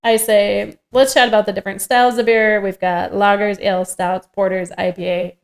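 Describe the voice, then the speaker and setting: A woman's voice: medium pitch, level tone, moderate pace. A woman co-hosting a podcast in an informal, joking way, reading from a script and chatting. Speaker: podcast co-host. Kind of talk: casual table read with banter.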